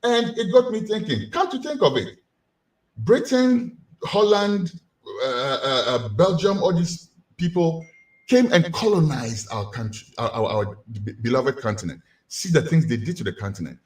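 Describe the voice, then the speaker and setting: Ghanaian-accented male lecturer, lively swooping delivery, rhetorical and animated. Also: on the high side, normal speed, low-quality recording. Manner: animated, rhetorical questions to the audience. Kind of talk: community lecture.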